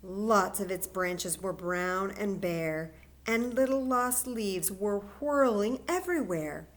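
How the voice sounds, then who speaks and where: Woman doing kids story time, really slow careful reading, expressive, asks the little ones questions. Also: medium pitch. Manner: expressive, coaxing questions to children. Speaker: library story time reader. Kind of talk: children's read-aloud with asides to young listeners.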